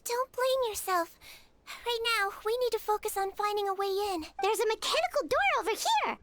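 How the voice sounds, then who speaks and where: Very high, cutesy little nurse creature. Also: female voice, expressive, normal speed, fairly bright tone, slight background noise. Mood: reassuring. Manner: cutesy, sing-song, playful. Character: small cheerful caring nurse creature, girlish and sweet. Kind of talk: video game voice acting. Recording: game music behind the voice.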